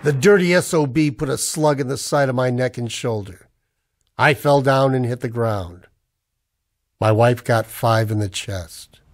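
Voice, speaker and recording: Male actor as haunted husband in a noir radio drama; mid-pitched, brooding, clipped hardboiled narration. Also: measured pace, lightly acted. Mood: grim. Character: Peter, haunted married man narrating noir misfortune.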